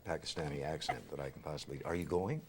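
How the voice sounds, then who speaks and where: A man's voice: extremely low pitch, fast talker, noisy background. A man hosting a cable talk show, chatting with a guest in a studio, joking and reading out listener questions. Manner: joking, teasing, reads listener questions aloud. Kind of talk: casual studio chat with a guest.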